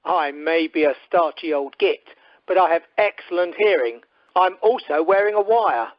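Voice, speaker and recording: A man playing a calm, stern undercover investigator in an amateur radio play, formal and measured. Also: on the high side, no room sound, close to an everyday voice. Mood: smug, stern. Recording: dull telephone-conference recording.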